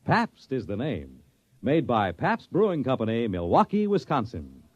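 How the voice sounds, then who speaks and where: A man's voice: medium pitch, wildly swooping pitch, a little slow, a little hiss or hum. A man announcing in the dramatic, scripted style of 1950s radio. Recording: muffled old radio broadcast with music.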